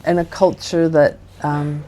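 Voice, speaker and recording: A woman's voice: low voice, little pitch movement, a little slow, warm tone. A woman in her forties with an American Midwest accent, a chaplain speaking thoughtfully and earnestly in an interview. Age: 40s. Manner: earnest, thoughtful, with frequent restarts. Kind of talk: reflective interview conversation.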